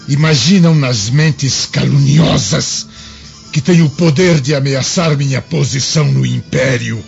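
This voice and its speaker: Slow, gravelly, arrogant Roman governor. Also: male voice, medium pitch. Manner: pompous, menacing, declamatory. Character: arrogant, vengeful Roman governor addressing aides and crowds. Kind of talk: dramatized audiobook radio drama acting. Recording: dull lo-fi audio, music behind. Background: background music.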